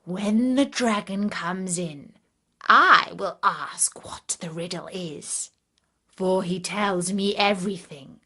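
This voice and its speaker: A woman voicing a very old, kindly but sly grandmother in a fairytale, hushed and deliberate. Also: lowish voice, husky, heavily acted. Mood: conspiratorial.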